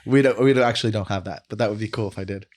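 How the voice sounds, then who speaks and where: A man's voice: fairly low pitch, rapid-fire, a little mellow. A man in his forties, a comedy podcast host, chatting casually and repeating words as he starts his sentences. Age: 40s. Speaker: stand-up comedian. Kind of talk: casual banter.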